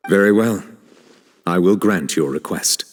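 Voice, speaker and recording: A man's voice: low voice, a little slow, in a large hall. An actor playing a stern, dignified male chief justice in a video game, formal, grave and detached. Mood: grave authority. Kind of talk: dramatic video game voice acting.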